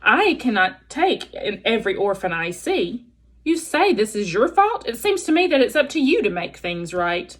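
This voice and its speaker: Woman doing a brusque old mother goose, animated and husky. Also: fairly high pitch, moderate pace. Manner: squawking, blunt. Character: old female gray goose, brusque, scolding, practical.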